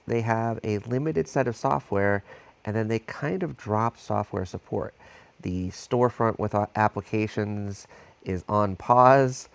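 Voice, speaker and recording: Brief: male host voice-over, low and gravelly, dry close mic. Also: no echo. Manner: reflective, measured opinion. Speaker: tech video host. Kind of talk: voice-over commentary.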